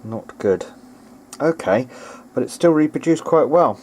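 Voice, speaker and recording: A man with a mid-pitched voice talks casually through a hobby repair at his workbench. He is tentative and unscripted, working things out aloud as he goes. The home recording has noticeable background noise. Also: expressive, unhurried, darkish tone. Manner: hesitant, thinking aloud, tentative. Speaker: hobbyist video maker repairing vintage audio gear. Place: home workbench, speaking behind a handheld camera. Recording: clearly noisy amateur home recording.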